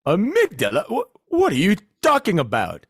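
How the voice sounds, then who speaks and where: A man playing a bossy, insecure zombie hunter in a comedic audio play, with a husky voice and an excitable, commanding delivery. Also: high-pitched, moderate pace, dry recording, studio quality, full character voice. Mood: indignant. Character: bossy, insecure male zombie-hunting team leader.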